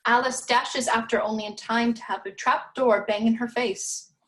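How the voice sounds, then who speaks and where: A woman's voice: a little high, steady tone, normal speed, fairly bright tone, poor audio. A woman giving scripted story narration for a dramatic reading.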